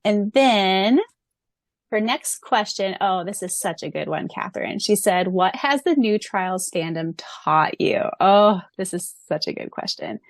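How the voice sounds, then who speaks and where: A woman co-hosting a fan podcast in casual chat, gushing and excitable, laughing often. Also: medium pitch, measured pace. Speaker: podcast co-host.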